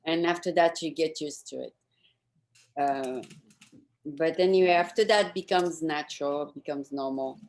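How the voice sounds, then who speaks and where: A woman with a distinctly low-pitched voice hosts a show. She talks informally with a guest, in the loose style of a casual interview conversation. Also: unhurried, a little mellow. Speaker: show host. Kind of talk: casual interview chat, wrapping up.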